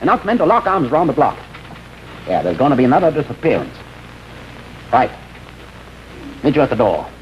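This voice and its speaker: A man's voice: mid-range voice, sing-song, a little fast, long pauses, natural voice. A determined male police detective acted by a man in a vintage TV crime drama. He is blunt and wisecracking, with clipped delivery. The soundtrack is dull and hissy with age. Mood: brisk, commanding. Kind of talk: 1950s television crime drama acting.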